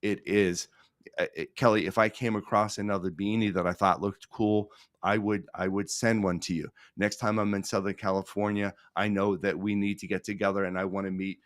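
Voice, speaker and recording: A middle-aged American man speaking as a podcast guest, telling stories conversationally in an earnest, emphatic way, repeating phrases and pausing for effect. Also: fairly low pitch, flat delivery, no room sound, studio quality. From Pittsburgh, United States. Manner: earnest, emphatic, with repetition and dramatic pauses.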